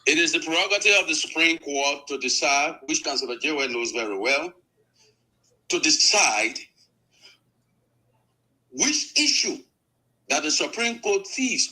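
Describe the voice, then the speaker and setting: Man arguing law, lively pitch. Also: rough recording. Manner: measured, formal courtroom phrasing. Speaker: lawyer on a panel show. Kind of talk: call-in legal argument.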